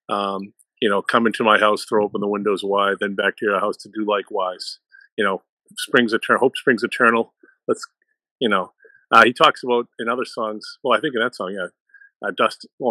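A man's voice: lowish voice, sharp tone, no room sound, rough recording. A man with a Boston accent talking casually as a guest on a music discussion. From Boston area, United States. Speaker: panel guest, music fan.

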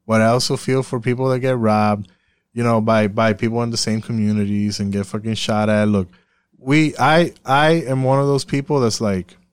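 A man's voice: on the low side, fairly even intonation, normal speed, smooth, no echo. A man from Puerto Rico in a casual, profane discussion, speaking emphatically and often circling back to repeat himself.

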